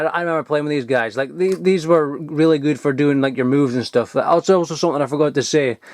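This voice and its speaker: A man, chatting casually and excitedly while digging through old toys, rambling and swearing now and then. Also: fairly high pitch, no echo. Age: 20s. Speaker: toy collector vlogger. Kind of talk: casual unboxing chat.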